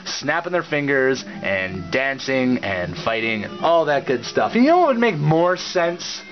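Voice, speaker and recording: A man delivering a satirical recap in a sarcastic, theatrical and halting manner, often repeating words. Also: mid-range voice, big pitch swings, soft background music. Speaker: reviewer and puppet-show host. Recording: slightly dull lo-fi audio.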